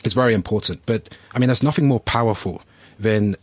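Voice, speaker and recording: A man with a low, husky voice talks through his points on a panel. He explains things patiently and often checks in with a "right?". He speaks as a business founder. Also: a little fast, no room sound. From United Kingdom. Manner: thoughtful, explanatory, frequent "right?" tags. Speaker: entrepreneur panelist. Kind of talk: panel discussion.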